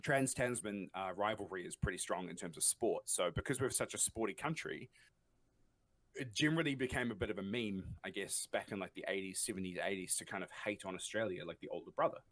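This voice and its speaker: A man's voice: lowish voice, brisk, a bit bright, low-quality recording. A man from New Zealand with a New Zealand accent, chatting as a podcast guest, rambling with restarts and frequent laughs. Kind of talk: casual podcast chat.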